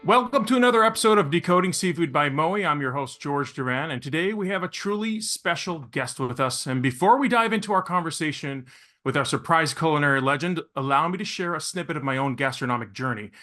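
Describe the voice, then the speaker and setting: A man's voice: on the high side, expressive, quick pace, bright, forceful tone, faint music behind. A middle-aged man from Venezuela hosting a podcast in a polished, effusive, showman-like way. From Caracas, Venezuela. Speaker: podcast host. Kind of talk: polished scripted introduction, then interviewing.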